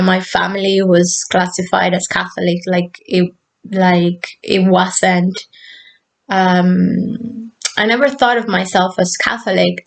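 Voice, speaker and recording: A woman from Argentina telling a personal spiritual story in an unscripted, meandering way, pausing often with fillers. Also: medium pitch. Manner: slow, meandering, soft-spoken with many fillers.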